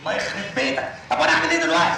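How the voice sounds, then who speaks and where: A man's voice: a little high, racing, vocal fry, bright, forceful tone, echoey room, recorded from a distance. An actor playing an exasperated, threatening wealthy man with an Egyptian accent in a comic film scene.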